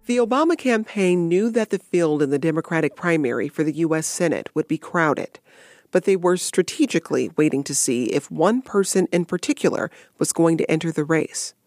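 A woman hosting a documentary podcast, reading scripted narration in a measured, clear storytelling manner. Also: deep voice, animated, darkish tone, dry recording, clean studio sound.

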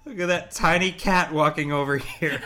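A man's voice: a little high, fairly even intonation, normal speed, heavy background noise. A man from Connecticut with a New England accent, co-hosting a podcast in a joking, self-deprecating way. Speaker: podcast co-host. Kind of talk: casual chat with comic scripted intro.

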